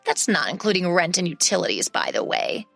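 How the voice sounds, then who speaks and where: Woman doing a grumpy, boastful spoiled sister; low, gravelly, dry. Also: big pitch swings, a little fast, a bit bright, no room sound, faint music behind, full character voice.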